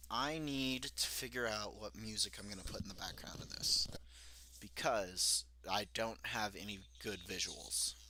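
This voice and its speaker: A man's voice: on the low side, pushed and bright. A young man chatting casually on a livestream, thinking aloud while he sets things up. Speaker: streamer.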